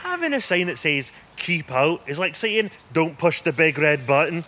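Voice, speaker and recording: A man's voice: on the high side, very animated, normal speed, bright, forceful tone. A man acting a cocky, mocking young stoner with a Scottish accent in a radio horror drama. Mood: excited bravado. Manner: brash, mocking. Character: cocky young male stoner egging on camping friends. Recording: noisy ambient background.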